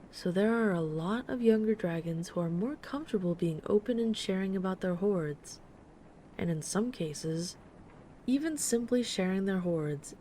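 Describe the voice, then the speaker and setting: Mellow, tender dragon friend played by a woman, faint hiss. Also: fairly low pitch, close to an everyday voice. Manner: soft-spoken, tender, unhurried reassurance. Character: gentle, affectionate female dragon friend who loves soft, cuddly plushies. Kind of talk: intimate comforting audio roleplay addressed to the listener.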